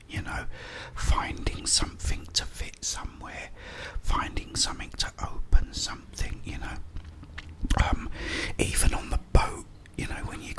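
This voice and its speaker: Male ASMR host, whispered and breathy, casual meandering delivery, unscripted. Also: bright, forceful tone.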